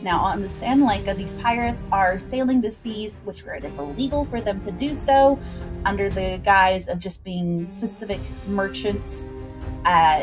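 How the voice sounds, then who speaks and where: A woman hosting a livestream, chatting informally with viewers and recapping a story, with asides to the chat. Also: medium pitch. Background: background music.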